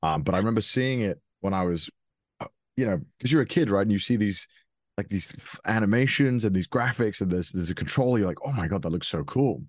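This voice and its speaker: Deep-voiced young man chatting. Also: expressive, a little fast, no echo, clean studio sound. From Wales. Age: 20s. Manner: hesitant, many restarts and fillers, laughs often. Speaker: guest. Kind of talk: casual interview chat.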